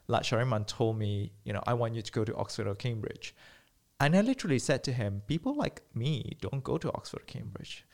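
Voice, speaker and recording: A man from Malaysia hosting a podcast, telling his own story in an earnest, conversational way. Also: on the low side. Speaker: podcast host. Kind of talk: casual interview talk.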